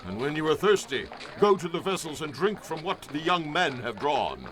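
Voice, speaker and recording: An actor playing a wealthy, gracious landowner in a radio drama, gentle yet commanding. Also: male voice, a little high, sing-song, normal speed. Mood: kind.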